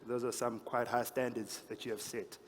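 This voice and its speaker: A man's voice: mid-range voice, little pitch movement, moderate pace. A man with a lisp hosting an awards ceremony in a playful, teasing, informal style. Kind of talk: informal, joking stage hosting. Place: large event hall, on stage. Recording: echoey hall, noticeable background noise.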